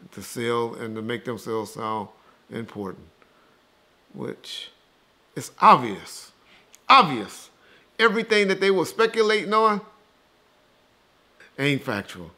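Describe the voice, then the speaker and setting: A man's voice: fairly high pitch, pushed and bright. A man talking casually and bluntly as an interview guest, dismissive and emphatic, swearing freely and repeating himself to drive points home. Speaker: interview guest rebutting rumors. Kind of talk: casual, profane opinionated talk.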